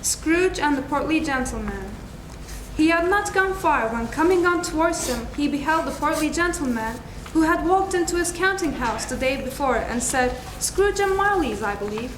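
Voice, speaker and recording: A woman's voice: high voice, expressive, normal speed. A young woman giving a dramatic scripted narration of a classic story, as a student reader. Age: young adult. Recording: echoey room with clear background noise.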